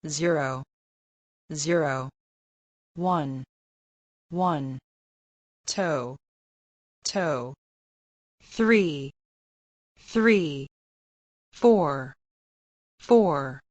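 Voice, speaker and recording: A woman reading scripted language-drill phrases with deliberate, careful enunciation. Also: low-pitched, darkish tone, no room sound. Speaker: English pronunciation model.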